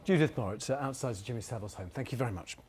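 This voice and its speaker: A male news anchor reads and links reports in a formal broadcast style. His voice is of average pitch, recorded in a studio. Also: racing, darkish tone.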